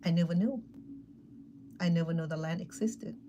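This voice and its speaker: A woman's voice: on the low side, normal speed, mellow, dull audio, a little hiss or hum. A middle-aged woman from Thailand with a Thai accent who hosts a cooking channel. She tells a personal story in a casual way and keeps turning to her viewers with "okay" and "remember". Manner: chatty, addresses viewers directly with frequent "okay" and "remember".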